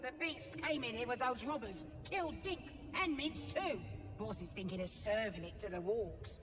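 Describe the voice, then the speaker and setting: Lady doing a goblin boss woman, callous, kinda high, echoey hall sound. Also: lively intonation, moderate pace, close to an everyday voice. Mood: bossy. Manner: bossy, coaching. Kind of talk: video game character acting. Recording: distant, hall reverb, under music.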